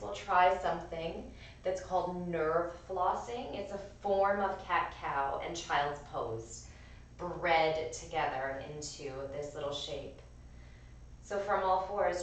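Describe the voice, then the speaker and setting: A woman with a raspy, strained voice gently cueing breath and movement as a yoga and pilates instructor. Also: lowish voice, a little hiss or hum. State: strained voice from overuse. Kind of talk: guided class instruction. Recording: echoey room, voice from far off.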